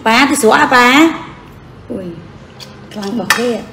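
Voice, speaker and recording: A woman's voice: a little high, slow pace, creaky, crowd noise. A woman chatting casually and teasingly during a home lunch. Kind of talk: casual chat while eating. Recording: echoey room with clinking cutlery. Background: cutlery and dishes clinking.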